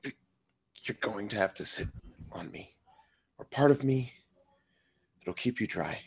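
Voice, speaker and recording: Injured trapped survivor, male, played by an actor at a table read: low gravelly voice, sardonic, stubbornly protective. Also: animated, heavily acted. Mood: strained, weakening. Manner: wry, blunt, halting through pain. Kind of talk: acted table read of a film script.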